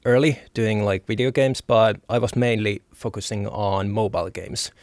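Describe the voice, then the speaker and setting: A man speaks in a low, gravelly voice, hesitant and halting, with lots of filler sounds between his words. The sound is dry and close. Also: steady tone, dry recording. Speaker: guest, audio designer. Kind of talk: casual interview chat.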